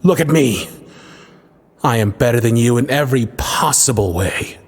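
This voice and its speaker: A man's voice: medium pitch, lively intonation, moderate pace, husky. A man playing a bitter, scornful younger prince in a fantasy audio drama, brooding and theatrical, full of contempt. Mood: contemptuous.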